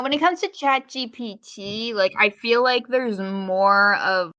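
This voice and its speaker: Woman, bright edgy tone, dry close sound, casual podcast banter, blunt, informal. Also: medium pitch, no room sound, lo-fi sound. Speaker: podcast co-host. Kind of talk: casual opinionated podcast chat.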